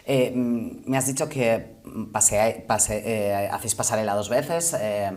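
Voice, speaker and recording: A woman's voice: very deep voice, measured pace, a little mellow. A woman interviewing in an informal, attentive, conversational way. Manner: informal, attentive, frequent murmured acknowledgements.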